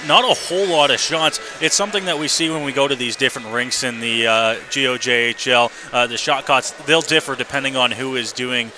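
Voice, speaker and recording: A man's voice: mid-range voice, sharp tone, slight background noise. A man doing live radio commentary as a hockey play-by-play announcer. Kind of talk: live sports radio commentary, between-periods recap. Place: indoor ice hockey arena.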